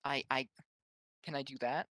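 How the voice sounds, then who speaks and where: A man's voice: mid-range voice, fairly even intonation, normal speed, pushed and bright, no echo, studio quality. A man playing a tabletop game, chatting casually and thinking his moves through aloud, a little hesitantly.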